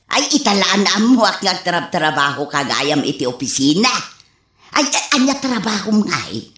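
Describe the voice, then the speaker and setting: An actress playing a nosy, slyly teasing older village auntie in an Ilocano radio comedy drama, prying in drawn-out phrases with an Ilocano accent. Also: female voice, on the low side, big pitch swings, fairly bright tone. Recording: echoey, with music behind.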